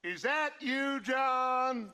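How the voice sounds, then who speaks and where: An actor playing a grandiose, menacing outlaw gang leader in a video game, speaking like a mock-courteous orator. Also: male voice, very high-pitched, extremely slow, bright, forceful tone, smooth, full character voice. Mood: amused. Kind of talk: video game cutscene acting.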